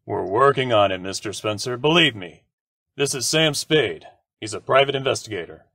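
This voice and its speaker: A man's voice: medium pitch, expressive, moderate pace, sharp tone, husky, dry recording, clean studio sound, exaggerated voice. A man playing a wry police lieutenant in an old-time radio drama, talking matter-of-factly. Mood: businesslike. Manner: matter-of-fact. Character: brisk, wry male police lieutenant, old pal of the detective. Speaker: supporting actor.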